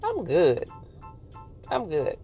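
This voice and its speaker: Forty-something woman, kinda deep voice, chatting away from the car on her commute, music going in the background. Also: wildly swooping pitch, drawn-out words, gravelly, warm tone. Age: 40s. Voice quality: somewhat deep voice. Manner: chatty, candid and upbeat. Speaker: podcast host. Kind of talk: casual chat while driving.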